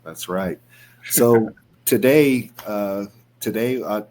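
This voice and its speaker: Male podcast host, 40s, very deep voice, conversational delivery, faint noise behind him. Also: slow, a little mellow.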